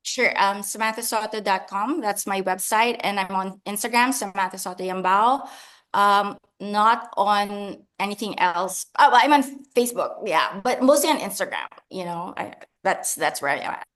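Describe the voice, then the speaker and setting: A woman's voice: medium pitch, normal speed, fairly bright tone. A woman from the Philippines, an author answering interview questions in a chatty, laughing, self-deprecating way over a video call. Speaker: author guest.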